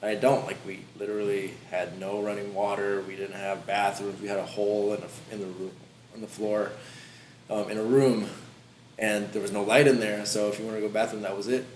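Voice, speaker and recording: Fast-talking man in a roomy podcast. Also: lowish voice, flat delivery. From Philippines. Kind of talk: casual podcast chat. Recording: roomy echo, background noise.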